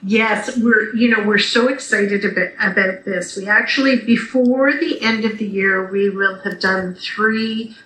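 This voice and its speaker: A woman's voice: mid-range voice, unhurried, few pauses, a bit bright. A Canadian woman answering interview questions conversationally and enthusiastically over a video call, as a theatre director and radio guest. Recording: roomy video call, faint noise.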